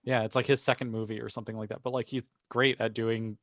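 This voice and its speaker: A man's voice: fairly low pitch, flat delivery, quick pace, dry recording, clean studio sound. A man co-hosting a casual panel chat podcast, explaining things like an enthusiastic fan. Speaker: podcast co-host.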